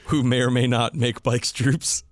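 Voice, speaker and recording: A man's voice: medium pitch, fairly even intonation, a little slow, no room sound, clean studio sound. A man hosting a podcast, explaining things in a casual, enthusiastic hobbyist way. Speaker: podcast host.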